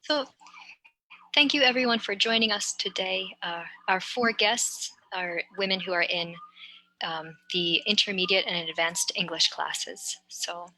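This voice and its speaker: Woman moderator from New York on a video call, bright crisp tone, easygoing pace with light "um"s. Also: medium pitch, a little slow, slight background noise, low-quality recording. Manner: relaxed, friendly, light fillers. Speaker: adult education instructor and moderator. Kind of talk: casual moderated discussion.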